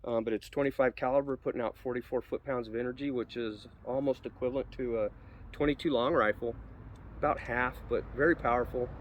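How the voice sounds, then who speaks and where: A man's voice: medium pitch, steady tone, fairly quick, muffled recording, slight background noise. A man with a cold, sniffly, giving a rambling casual review of an air rifle outdoors, with many ums and restarts. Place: outdoors at a shooting spot.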